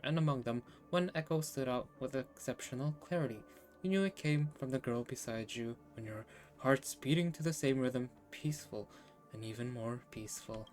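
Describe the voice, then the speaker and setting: A man's voice: medium pitch, darkish tone. A man streaming a game, reading its narration aloud and reacting casually.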